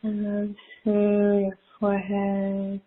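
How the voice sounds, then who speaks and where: A woman leading a spiritual guided meditation in a soothing, gentle manner with long pauses, recorded from a live call. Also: medium pitch, monotone, husky. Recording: muffled phone-like live call audio.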